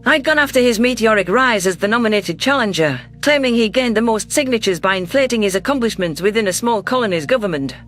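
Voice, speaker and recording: Audiobook narrator for science fiction: medium pitch, scripted first-person storytelling, light music bed. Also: animated, fast talker, hardly any pauses, a bit bright.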